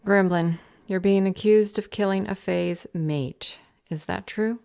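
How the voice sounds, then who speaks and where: A woman's voice: medium pitch, measured pace, vocal fry, a little mellow, smooth, no room sound, studio quality. A woman playing an unflappable clerk at a magical government office in an audio roleplay, with an officious, matter-of-fact manner. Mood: stern.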